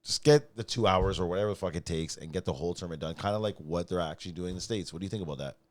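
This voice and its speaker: Man, low-pitched, clean dry sound, podcast co-host, blunt slangy sweary sports chat, repetitive emphasis. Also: racing, no echo.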